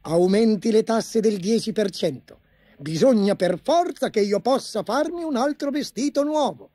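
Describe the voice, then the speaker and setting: A man voicing a vain, spoiled emperor who shouts demands petulantly and gets excited easily. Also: high voice, normal speed, husky, no room sound, voice pushed up. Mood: impatient.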